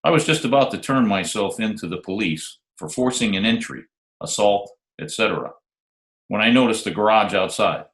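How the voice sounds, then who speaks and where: A man playing a smooth, wisecracking hardboiled private detective in an old-time radio drama. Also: on the low side, animated, moderate pace, fairly bright tone. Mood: dry, wry narration. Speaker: lead actor and narrator. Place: home, remote video call recording. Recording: roomy, echoey remote home recording.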